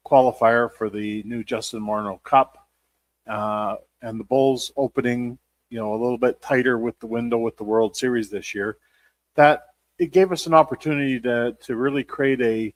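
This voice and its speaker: A man's voice: mid-range voice, steady tone, moderate pace, no room sound. Man in sports interview, dry close sound. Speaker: team general manager and president. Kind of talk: casual interview answers.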